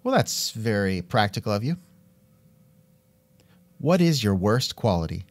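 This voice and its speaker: Animated, wry male interviewer. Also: fairly low pitch, measured pace, no echo. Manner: wry, musing, interjects with hmms. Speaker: podcast host and interviewer. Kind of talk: casual scripted interview chat.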